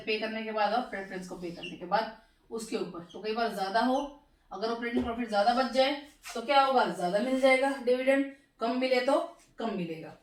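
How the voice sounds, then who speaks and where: A hoarse woman teaching finance in a classroom-style lecture, asking questions and answering them herself and slowing down over English terms. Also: fairly high pitch, far from the mic. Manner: slows down to spell out English terms. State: hoarse, sore throat. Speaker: economics teacher. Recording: echoey room, voice from off mic.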